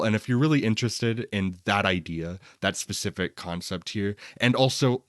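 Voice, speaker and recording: A man's voice: low voice, a bit bright, dry recording, clean studio sound. A man co-hosting a film podcast, chatting casually in an enthusiastic, rambling way. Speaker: podcast co-host reviewing films.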